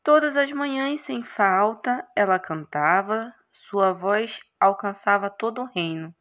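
Slow-paced Brazilian woman hosting a let's play. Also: mid-range voice, animated, a bit bright. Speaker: gaming channel host. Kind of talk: casual let's play, reading story aloud.

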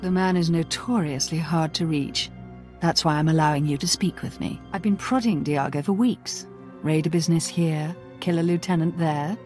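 A woman's voice: deep voice, normal speed, a little mellow, soft background music, close to an everyday voice. An actress playing a vain, haughty, seductive female Sith villain in a video game, with a silky manner. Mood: cool, sly.

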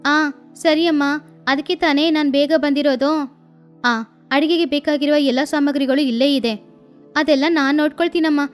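A woman's voice: high-pitched, steady tone, moderate pace, pauses often, faint music behind, close to an everyday voice. A woman voicing an obliging female duck cook who talks politely and reassuringly. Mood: eager.

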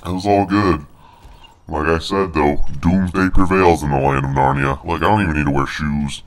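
A man's voice: a bass voice, fairly even intonation, normal speed. A man voicing a snarky, crude big monster brute while doing casual, jokey video game commentary. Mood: snarky, boastful.

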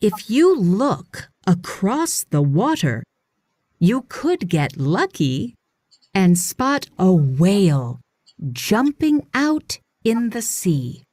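A woman narrating a scripted children's read-aloud, with deliberate, expressive phrasing for young readers. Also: mid-range voice, no echo, studio quality. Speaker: audiobook narrator.

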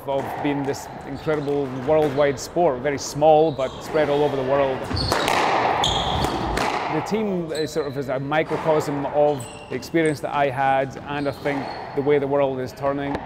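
Scottish coach, measured and thoughtful. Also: male voice, on the high side, little pitch movement, heavy background noise. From Scotland. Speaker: head squash coach. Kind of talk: interview.

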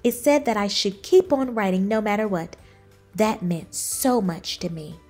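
A woman reading a children's book aloud in expressive storybook narration. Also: medium pitch, a little slow, faint music behind. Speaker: children's book reader, channel host.

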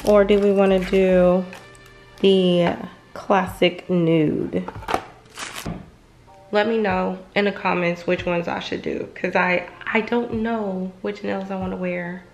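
A woman's voice: on the low side, level tone, takes it slowly, a little mellow, faint music behind. A woman, chatting casually to her viewers in a home vlog and calling them y'all. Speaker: vlogger. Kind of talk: casual vlog chat to camera. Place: home rooms and a parked car.

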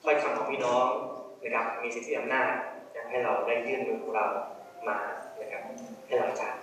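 A man's voice: medium pitch, brisk, fairly bright tone, slight background noise. A man with a Thai accent preaching an earnest altar call, polite and pleading, in a large church hall. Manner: polite, earnest, many polite particles. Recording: very echoey hall from far off.

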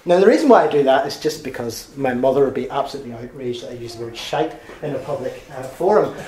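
A man delivers a university seminar lecture at a medium pitch. He hesitates often with uh and um and drops in dry asides. The seminar room adds a clear, roomy echo to his voice. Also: animated, quick pace, warm tone. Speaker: history lecturer giving a seminar talk.

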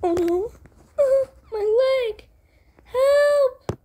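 A woman's voice: extremely high pitch, expressive, drawn-out words, noisy background, voice pushed up, heavily acted. A woman voicing a whiny injured child in a cartoon roleplay, wailing shrilly for help. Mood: crying, pleading.

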